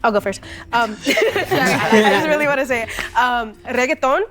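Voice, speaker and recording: A woman's voice: a little high, moderate pace, over music. A woman from Brazil chatting eagerly as a game show contestant.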